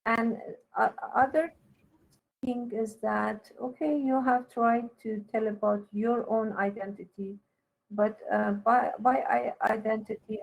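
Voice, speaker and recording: A woman with a crackly, fry-edged voice speaks slowly as a teacher giving feedback. She halts and restarts as she instructs, correcting point by point. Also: mid-range voice, darkish tone. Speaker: university teacher giving feedback on a student's paper. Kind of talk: one-to-one spoken writing feedback.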